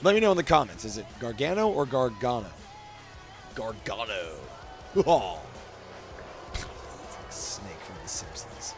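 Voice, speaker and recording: A man's voice: medium pitch, big pitch swings, a little slow, pauses often. Jokey man with music behind him. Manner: self-deprecating, jokey, rambling. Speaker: host commenting on wrestling video game cutscenes. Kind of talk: casual commentary with mock wrestling announcing.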